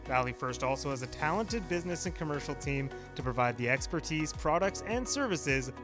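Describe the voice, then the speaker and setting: Male announcer, measured sponsor read over clear background music. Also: a little high, lively intonation.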